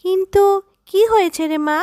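A woman voicing a poor, worried, gentle widowed fox mother who pleads and explains patiently in a children's cartoon. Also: extremely high pitch, steady tone, normal speed, no room sound, clean studio sound. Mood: apologetic, coaxing. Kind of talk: Bengali children's cartoon dubbing.

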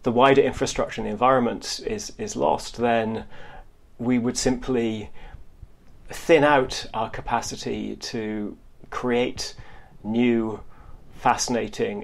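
A man speaks as a historian in an interview, with a gravelly creak in a medium-pitched voice. He explains his points in a halting way, circling back to rebuild phrases.

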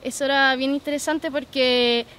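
High-voiced young Chilean woman. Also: steady tone, moderate pace, a bit bright, slight background noise. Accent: Chilean Spanish. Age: 20s. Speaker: programme content producer and co-host. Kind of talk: documentary interview testimony. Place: garden outdoors, seated interview.

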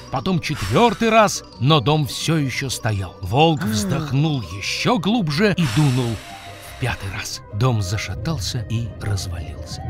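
A storyteller narrating a scripted fairy tale for children in an expressive, dramatic manner. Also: medium pitch. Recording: music behind the voice. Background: music.

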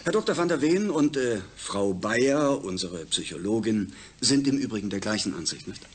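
A persuasive, lively-pitched project leader. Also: male voice, moderate pace, slightly rough. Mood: assured. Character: male space-agency project leader, suave, persuasive, self-assured. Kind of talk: German radio drama acting.

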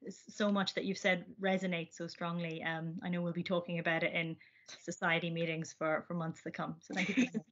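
Young female interviewer, lowish pitch, conversational, muffled video-call audio. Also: flat delivery, fairly quick, a bit bright. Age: young adult. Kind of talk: casual conversation. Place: indoor room on a video call.

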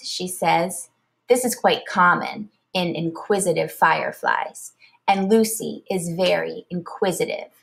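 A woman reading a children's storybook aloud like a teacher, expressive and deliberate. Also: on the low side, gravelly.

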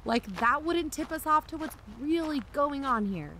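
A woman playing an excitable amateur investigator who hosts a podcast, in a scripted audio drama. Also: high voice, moderate pace, slight background noise, rough recording. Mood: animated, scornful. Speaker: podcast host.

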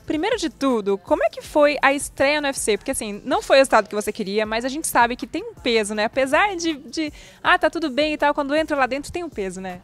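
A young Brazilian woman working as a reporter, asking quick, bubbly questions into a handheld mic in front of a press backdrop. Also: high-pitched, big pitch swings. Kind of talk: interviewing.

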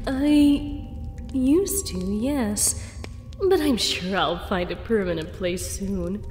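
A woman voicing a sinister shapeshifting creature posing as a sweet schoolteacher mare, measured and sweetly menacing, in an audio fan-fiction drama. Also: medium pitch, darkish tone, lightly acted. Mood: evasively pleasant. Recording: echoey hall reverb, music behind. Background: background music.

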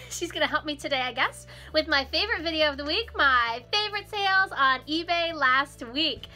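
A woman's voice: high-pitched, animated, moderate pace, music in the background. A woman in her thirties, a little froggy from a cold, chatting casually and cheerfully at home to a close camera, laughing often. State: recovering from a cold, a bit hoarse. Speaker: resale vlogger. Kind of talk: casual chat. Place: small room at home, indoors.

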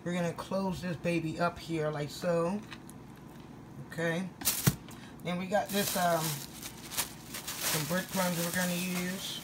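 A weary woman cooks in her home kitchen and talks the viewer through each step in a casual, chatty way. She keeps checking in with the audience as she goes. The sound comes from a phone held in her hand, with clear noise underneath. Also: fairly low pitch, steady tone, takes it slowly, long pauses. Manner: chatty, repeats "all right?" and "guys". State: tired after a long workday. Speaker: home cook vlogger. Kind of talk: casual cooking walkthrough.

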